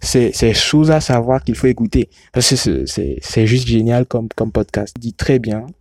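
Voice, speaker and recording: Hesitant man recommending podcasts, mellow voice. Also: mid-range voice, normal speed, no echo, studio quality. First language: a language derived from Yoruba. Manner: hesitant, many repeated words and restarts. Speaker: podcast reviewer. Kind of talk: casual recommendation monologue.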